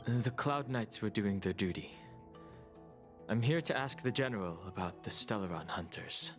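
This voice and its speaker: Game role, male actor: polite, reserved young man, terse delivery on the lower side, backed by music. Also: animated, moderate pace, close to an everyday voice. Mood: reserved, serious. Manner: terse, restrained. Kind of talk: English video game dialogue. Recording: game audio with music behind.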